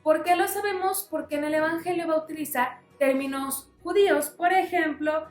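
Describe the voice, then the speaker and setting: A woman's voice: high-pitched, steady tone, normal speed, reverberant, soft background music. A woman teaching a Bible lesson in a friendly way, explaining with everyday examples. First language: Spanish.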